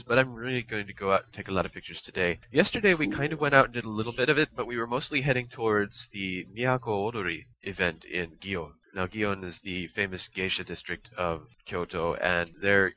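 A man's voice: fairly low pitch, sharp tone, low-quality recording. A young man co-hosting a podcast, chatting casually in a rambling, conversational way. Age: young adult. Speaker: podcast co-host. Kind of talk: casual chat.

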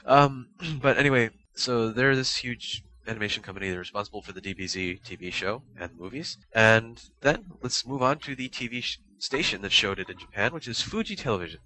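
Bright-voiced man explaining casually on a podcast. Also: mid-range voice, brisk, few pauses, poor audio. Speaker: podcast co-host. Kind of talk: casual explanatory chat about anime fandom.

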